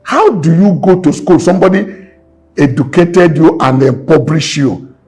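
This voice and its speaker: A man's voice: fairly high pitch, lively intonation, normal speed, darkish tone, slightly rough, soft background music. A man in his sixties, a businessman guest in an interview, talking emphatically and repeating his points like a preacher. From Nigeria. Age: 60s. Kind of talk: impassioned interview talk.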